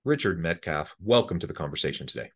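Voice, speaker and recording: A man's voice: deep voice, lively intonation, fast. A man hosting an interview podcast in a conversational style.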